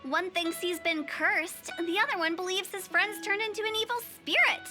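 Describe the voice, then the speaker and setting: An actress playing a confident, theatrical young female exorcist in an anime video game, speaking with brisk assurance. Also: very high voice, loud music behind the voice. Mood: frank, explaining.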